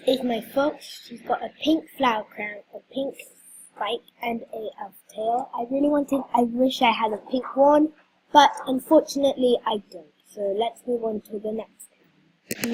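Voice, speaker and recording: A woman's voice: fairly high pitch, a little mellow, a little hiss or hum, rough recording. A woman hosting a casual vlog, talking hesitantly with many ums while she is losing her voice. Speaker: video host. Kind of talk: casual game walkthrough vlog.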